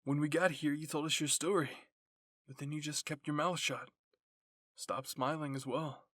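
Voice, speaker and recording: A man's voice: on the high side, fairly even intonation, moderate pace, fairly bright tone, dry recording, studio quality. A man voicing an intense, blunt and protective teenage boy soldier in an anime fan fiction roleplay. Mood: blunt, teasing.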